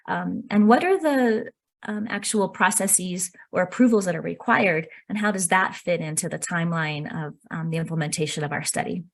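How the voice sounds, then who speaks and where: A woman's voice: lowish voice, gravelly. Earnest woman presenting on a video call. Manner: measured, earnest, frequent um fillers. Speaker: workshop presenter. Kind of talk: webinar presentation. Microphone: computer mic on a video call.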